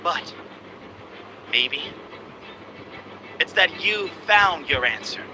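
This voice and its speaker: A young man voicing an earnest desert temple heir and duelist in a video game, speaking solemnly and deliberately. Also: on the high side, expressive, noisy background. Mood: reflective, sincere. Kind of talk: dramatic English game voice acting.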